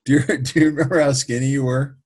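A middle-aged man with a raspy voice who coaches quarterbacks, interviewing a former player in a casual, teasing way. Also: mid-range voice, steady tone, fast, darkish tone. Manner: teasing, chuckling between lines. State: raspy voice from playing golf.

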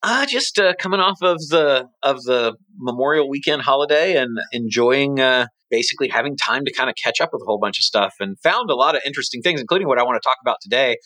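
A man's voice: medium pitch, expressive, quick pace, few pauses, bright, forceful tone, no echo. A middle-aged man co-hosting a casual business podcast, rambling and often restarting his sentences. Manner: rambling, self-correcting. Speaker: podcast co-host.

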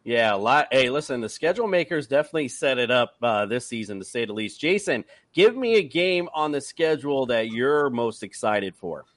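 A man's voice: medium pitch, expressive, fairly quick, few pauses, no echo. A man hosting a casual sports podcast, upbeat, addressing each guest as "sir". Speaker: podcast host.

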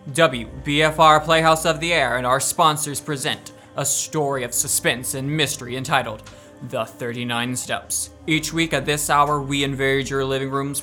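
A man's voice: mid-range voice, lightly acted. Droll, macabre radio host, acted by a young man. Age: young adult. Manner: deadpan, theatrically formal. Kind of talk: old-time radio drama introduction.

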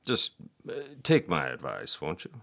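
An actor playing a doting older father in an audio drama, earnest and persuasive, with halting, stammering delivery. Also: very deep voice, wildly swooping pitch, creaky, a bit bright, exaggerated voice. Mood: pleading.

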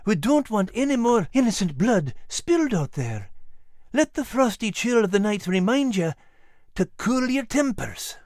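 A man's voice: sits high, big pitch swings, a little slow, a little mellow, dry recording, studio quality, pitched above the performer's own voice, exaggerated voice. A man voicing a kindly, grave old Scottish sergeant in a storybook, with folksy old-fashioned soldierly phrasing. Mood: grave warning.

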